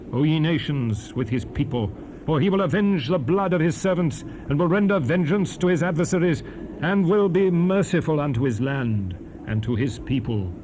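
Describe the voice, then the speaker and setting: A stern old Hebrew prophet played by a male actor declaims scripture in a dramatized Bible. His delivery is fervent and oratorical, in a middle-pitched voice. The old cassette recording carries clear hiss. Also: lightly acted. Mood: exultant. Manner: solemn, emphatic, oratorical. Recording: noisy 1970s cassette rip with hiss.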